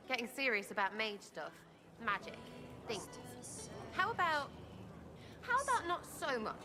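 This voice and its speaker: A woman's voice: fairly high pitch, huge pitch swings, a little slow, over music. A woman playing a brash, irreverent young English commoner in a video game, talking in blunt, choppy slang. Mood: nervous, defiant. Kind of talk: video game character acting.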